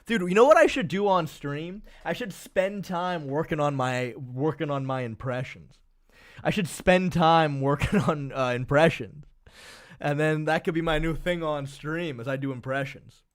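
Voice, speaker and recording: A man's voice: on the high side, moderate pace. A man hosting a live stream, chatting casually and rambling to his viewers. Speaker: streamer host.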